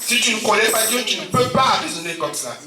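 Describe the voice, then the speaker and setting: Echoey preaching pastor with a cold. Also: male voice, high voice, lively intonation, brisk, over music. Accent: Central African French. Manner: preaching with frequent amen and alleluia calls. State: sore throat and a cold. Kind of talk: sermon. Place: church hall. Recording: echoey church from a distance.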